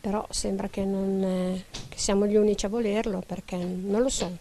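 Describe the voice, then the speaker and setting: Quiet woman in her 60s, flat and mellow, faint ambience. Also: mid-range voice, normal speed, a little hiss or hum. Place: shoe shop.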